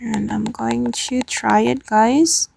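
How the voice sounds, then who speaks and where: Gravelly woman explaining app steps. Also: on the high side, steady tone, slow pace, a little mellow. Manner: step-by-step, plain instructional. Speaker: tutorial host. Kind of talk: screen-recorded app tutorial.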